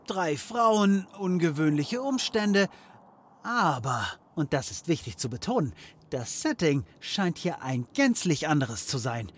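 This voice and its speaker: An actor playing a flippant, sarcastic private detective in a comedy audio drama, joking and theatrical. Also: male voice, fairly high pitch, sing-song, measured pace. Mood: playful.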